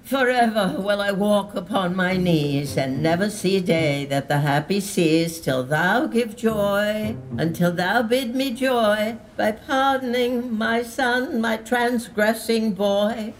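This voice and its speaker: A woman performs a pleading noblewoman in a Shakespearean radio play. She speaks slowly and emotively at a medium pitch, an insistent mother imploring on her knees. Faint music sits behind her voice. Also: natural voice, low-quality recording. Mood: tearful, beseeching. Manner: emotive, imploring verse delivery. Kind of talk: Shakespearean radio drama acting.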